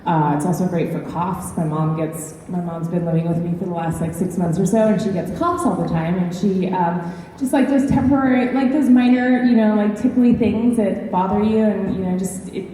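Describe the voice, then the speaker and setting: A woman's voice: medium pitch, normal speed, few pauses, warm tone. A woman on a panel giving casual recommendations in a chatty way, often saying "like". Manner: chatty, frequent "like". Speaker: panel speaker. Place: large echoing event hall. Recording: echoey hall, distant mic, faint noise.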